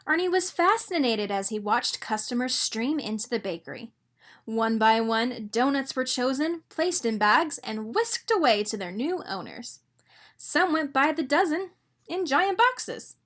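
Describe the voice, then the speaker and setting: A woman reading a children's storybook aloud in an expressive narrating style. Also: high-pitched, a little slow. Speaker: storybook reader.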